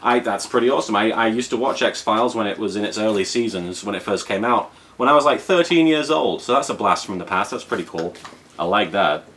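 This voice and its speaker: A man's voice: on the low side, lively intonation, a little fast, a bit bright. A British man in his thirties hosting a casual unboxing vlog, rambling in a playful, mock-serious way with emphatic repetition. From Britain. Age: 30s. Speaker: unboxing video host. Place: indoors at home in an apartment. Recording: clear background room noise.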